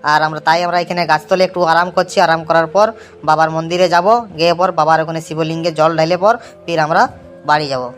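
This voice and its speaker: Man as a high-voiced, reassuring cartoon pilgrim. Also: fairly even intonation, fairly quick, fairly bright tone, faint music behind, lightly acted. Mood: reassuring, devout. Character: encouraging, devout young male pilgrim. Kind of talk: amateur cartoon dialogue, vlog-like.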